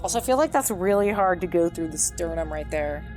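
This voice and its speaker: A woman's voice: lowish voice, expressive, brisk, music in the background. A woman giving casual playthrough commentary as a streamer, informal and sweary, thinking aloud. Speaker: gaming streamer.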